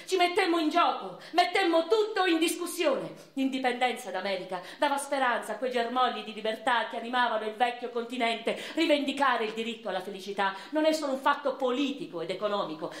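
An actress playing a weary, passionate Neapolitan noblewoman revolutionary, delivering an intense, theatrical radio monologue with deliberate pauses. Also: a little high, close to an everyday voice. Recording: roomy echo with music underneath.

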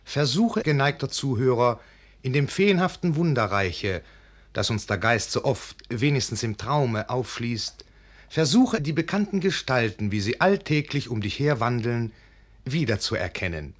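A man narrating a literary radio play as a storyteller, measured and formal toward the listener. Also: medium pitch, very animated. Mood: measured, storytelling. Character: storyteller addressing the gentle listener. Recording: faint music behind.

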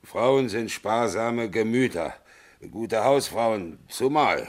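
Deep, slow-talking, curt old minister. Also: male voice, expressive. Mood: smug. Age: older. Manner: curt, deliberate, repeats words. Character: gruff old government minister, curt and self-satisfied. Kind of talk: German radio drama acting.

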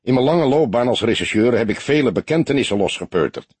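A man voicing a gruff, modest old police detective in an audiobook, low-key, grumbling and dryly sardonic. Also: lively intonation, quick pace, darkish tone, no echo. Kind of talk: audiobook character voice.

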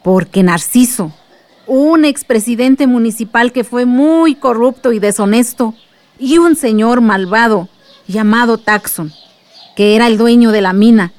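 A woman playing a kindly aunt with a Mexican accent, gently telling memories in a radio drama. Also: a little high, unhurried, lightly acted. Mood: earnest storytelling. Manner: gentle, reminiscing. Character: kindly aunt telling her village's past to a child.

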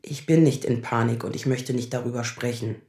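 A woman playing a sharp-tongued, anxious lawyer in her thirties in a naturalistic radio drama, sarcastic and defensive. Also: rumbling low, steady tone, fairly quick, roomy sound. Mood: defensive, agitated. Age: 30s.

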